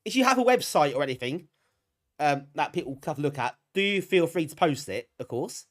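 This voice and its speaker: A British man hosts a live stream in a fairly high voice with very animated intonation. He sounds bunged up with a cold. He chats casually with viewers in a self-deprecating, appreciative way. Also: a little fast. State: bunged up, getting over a cold. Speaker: live streamer hosting a retro gaming stream.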